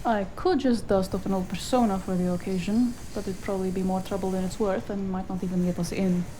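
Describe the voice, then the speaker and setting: A woman playing a bossy, sharp-witted cyberpunk fixer in a noir audio drama, sardonic and scolding. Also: mid-range voice, steady tone, brisk, slight background noise, lightly acted, poor audio. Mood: brisk planning. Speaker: voice actor.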